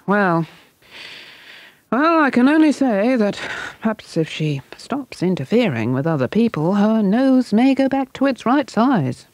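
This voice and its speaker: A woman's voice: on the high side, sing-song, darkish tone, dry recording, voice pushed up. A woman doing a puzzled, musing doctor. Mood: grave. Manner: slow, musing, hesitant. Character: puzzled grave old male doctor.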